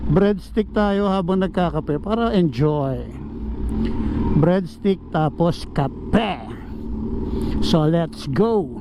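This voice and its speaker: A man's voice: sits high, extremely slow, vocal fry, pauses often. A middle-aged or older Filipino man chatting casually to viewers in a rambling vlog style, mixing in English words, recorded on a helmet microphone. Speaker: motorcycle vlogger. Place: outdoors on and around a motorcycle. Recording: noisy outdoor motorcycle intercom mic, traffic hum.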